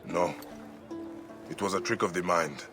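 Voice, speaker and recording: A man's voice: deep voice, unhurried, over music. A man acting a solemn, terse Egyptian avenger in a video game cutscene. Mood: reflective. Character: brooding ancient Egyptian male protector and avenger.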